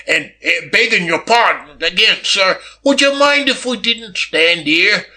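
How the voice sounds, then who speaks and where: Man voicing a nervous, high-pitched, deferential footman. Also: lively intonation, a little slow, sharp tone, exaggerated voice. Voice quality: quavering. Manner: hesitant, obsequious, stammering. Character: nervous, deferential male footman, pleading servant.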